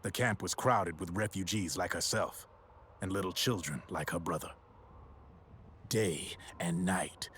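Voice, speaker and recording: An actor playing a grave older man who solemnly and deliberately recounts a war tragedy in a video game cutscene. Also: low-pitched, fairly bright tone, slight background noise.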